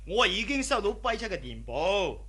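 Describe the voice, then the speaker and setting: A man's voice: sits high, sing-song, moderate pace, a bit bright, breathy edge, full character voice. An actor playing a hearty, shrewd middle-aged boss and indulgent father in a radio drama, effusive and colloquial. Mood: assuring, businesslike.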